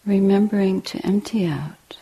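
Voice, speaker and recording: A woman meditation teacher leading a guided meditation in a breathy, hushed voice, with deliberate short phrases and long pauses. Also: medium pitch, poor audio. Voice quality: husky, breathy, often near whisper.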